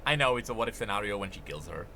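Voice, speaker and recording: A man's voice: lowish voice, lively intonation, racing, vocal fry, a crowd in the background. A man giving enthusiastic, emphatic off-the-cuff reaction commentary on game lore.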